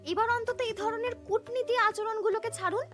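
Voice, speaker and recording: A sharp-tongued young cartoon village wife, voiced by a woman, argumentative and suspicious. She fires off accusations quickly in a high, bright voice with music behind. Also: steady tone. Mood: accusing. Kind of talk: cartoon voice acting.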